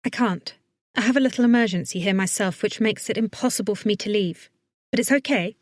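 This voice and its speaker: A woman's voice: mid-range voice, brisk, no echo, lightly acted. A woman voicing a worried but capable woman vet in an audiobook, giving firm, urgent, reassuring instructions. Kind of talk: audiobook character acting.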